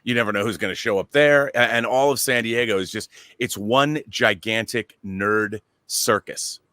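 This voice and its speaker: A man telling anecdotes in a casual, excitable and emphatic way on a pop culture talk show. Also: on the low side, normal speed, no echo.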